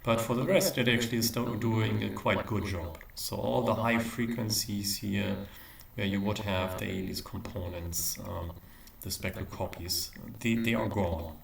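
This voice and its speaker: A man giving a live technical demonstration as a university lecturer, explaining in a hesitant way with frequent pauses. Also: fairly low pitch, vocal fry. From Germany. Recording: roomy echo, faint background noise.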